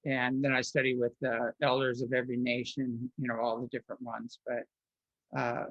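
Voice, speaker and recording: A man interviewed as a guest, telling personal stories in a rambling way, restarting often and filling pauses. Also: medium pitch, monotone, no echo, dull audio. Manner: rambling, with frequent restarts and filler words.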